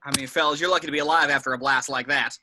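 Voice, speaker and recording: A man's voice: on the high side, steady tone, racing, sharp tone. A man acting a brusque, sardonic, seasoned secret agent in an amateur script read, clipped and authoritative. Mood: dry, sardonic. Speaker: amateur actor at a table read. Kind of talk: animated script read-through.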